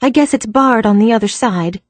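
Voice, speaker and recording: A woman's voice: fairly high pitch, moderate pace, dry recording, voice pushed up, close to an everyday voice. A woman voicing a plucky young American woman who talks earnestly and a little anxiously.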